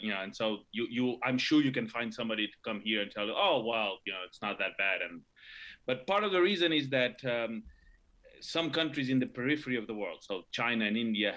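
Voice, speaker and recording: A middle-aged man from Argentina explains economics in a low, bright voice. He talks haltingly, stacking fillers and restarts as he goes. He sounds like a guest on a live talk show. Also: low-quality recording.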